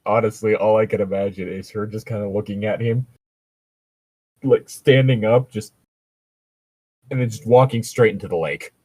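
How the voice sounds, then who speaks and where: A man co-hosting a casual comedic fan fiction reading, joking crudely and swearing in an animated way. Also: lowish voice, moderate pace, long pauses, husky, no room sound, low-quality recording.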